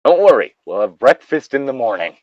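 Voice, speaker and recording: A man's voice: mid-range voice, very animated, brisk, darkish tone, no echo, dull audio, pitched above the performer's own voice, natural voice. Man voicing an exasperated no-nonsense hiking trip leader. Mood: exasperated, firm.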